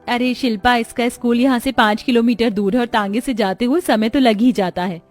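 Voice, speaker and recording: A woman's voice: a little high, fairly quick, a little mellow, soft background music, natural voice. A woman voicing a plain-spoken village sister-in-law in a cartoon story. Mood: explaining, resigned.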